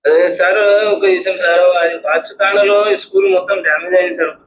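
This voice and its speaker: Very fast-talking male caller, Telangana Telugu accent. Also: sits high, fairly even intonation. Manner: deferential, says sir often. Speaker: phone-in caller with a local grievance. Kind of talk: deferential petitioning by phone. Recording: dull phone call, echo, noise.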